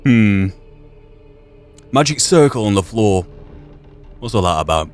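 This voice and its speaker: Low-voiced man musing over a game. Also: animated, unhurried, clear, resonant tone, soft background music. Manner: musing, repeats and rephrases himself. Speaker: gaming video host. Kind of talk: in-character playthrough commentary.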